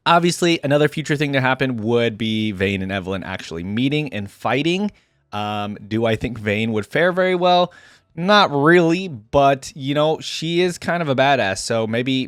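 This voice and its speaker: A man's voice: medium pitch, no room sound, clean studio sound. A man giving casual game reaction commentary in an informal, chatty way, thinking aloud as he goes. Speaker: reaction video creator.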